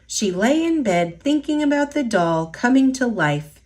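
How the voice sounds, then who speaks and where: Slow, expressive woman reading a storybook. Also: a little high. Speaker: storytime reader. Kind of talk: children's picture book read-aloud.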